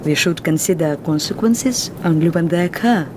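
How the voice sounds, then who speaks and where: An actress with a Russian accent playing a guarded, earnest young Russian woman in a film drama who speaks in a formal, measured and reserved way. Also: on the low side, noisy background, natural voice.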